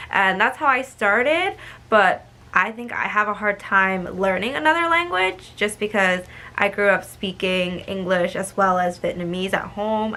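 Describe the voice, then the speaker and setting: A woman's voice: mid-range voice, sharp tone. A young woman talking casually to camera in a vlog, chatty, with frequent ums and likes. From United States. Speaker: vlogger.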